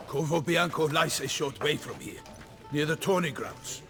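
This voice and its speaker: An actor playing a pompous, excitable, good-natured knight in a fantasy video game, speaking in a florid, theatrical manner. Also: male voice, a little high, normal speed, soft background music. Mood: cheerful, proud. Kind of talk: video game cutscene acting.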